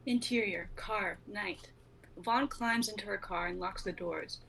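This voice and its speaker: A woman's voice: mid-range voice, a little slow, gravelly, a bit bright. A young woman giving scripted narration as the reader of stage directions, on noisy livestream audio. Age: young adult.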